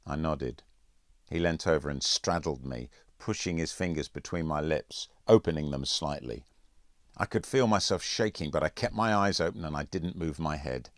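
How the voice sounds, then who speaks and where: Deep-voiced man narrating a story. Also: moderate pace, dry recording, studio quality. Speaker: author reading own audiobook. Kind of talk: scripted first-person narration.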